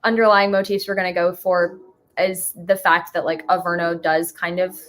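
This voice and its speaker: A woman's voice: lowish voice, little pitch movement, moderate pace, a bit bright. A young woman guest in a casual chat, telling informal, rambling stories full of "like". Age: young adult. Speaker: guest, writer-creator.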